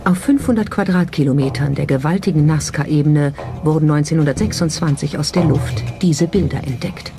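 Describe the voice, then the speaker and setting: A documentary narrator with a middle-register voice delivers scripted narration. Music plays clearly underneath throughout. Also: mid-range voice, a little fast, few pauses. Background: background music.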